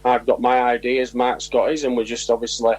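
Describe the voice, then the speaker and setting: A man with a husky, slightly rough voice at an ordinary pitch. He talks informally and in a matey way, as an assistant coach would in a casual interview. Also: flat delivery, brisk, darkish tone. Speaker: assistant football manager.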